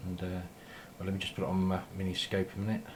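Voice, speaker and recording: A man giving a casual, ad-libbed video tour in a hesitant, unhurried, rambling way, recorded on a handheld camera in a small room. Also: low voice, completely flat delivery. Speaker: amateur radio operator filming shack tour. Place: small indoor radio room. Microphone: handheld camera mic. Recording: noisy handheld camera sound.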